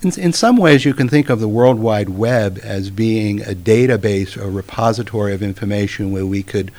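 A middle-aged man with a Northeastern US accent and a fairly low voice speaks as an interview guest. He explains his thoughts in a measured, halting way, reflecting as he goes. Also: a little mellow. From Brooklyn, New York, USA. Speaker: interview guest, author and former physician.

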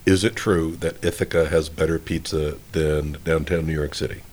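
A man's voice: extremely low pitch, steady tone, moderate pace, a little mellow. A man hosting a casual conversational interview in a rambling, folksy, self-deprecating way. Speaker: interview host.

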